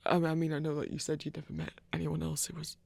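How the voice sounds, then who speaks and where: A performer playing a lonely, self-doubting werewolf in an intimate audio roleplay, gentle and awkward, with a stammering, hesitant delivery. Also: mid-range voice, completely flat delivery, very fast, gravelly, breathy edge, dry recording. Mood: flustered, unsure.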